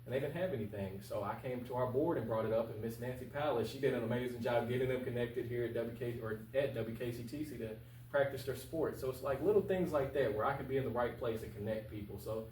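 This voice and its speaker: A man's voice: mid-range voice, fast, darkish tone. A man in his twenties talking casually and earnestly as a candidate being interviewed, colloquial and emphatic, repeating points for stress. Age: 20s. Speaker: interview guest, candidate for local office. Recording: roomy, distant mic with faint hum.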